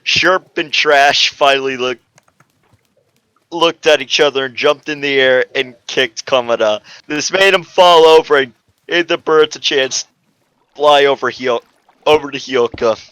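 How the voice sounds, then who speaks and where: A man's voice: mid-range voice, a little slow, a bit bright, clean tone, no room sound. A man narrating a comic story informally as an amateur dramatic reading, stumbling over the text at times.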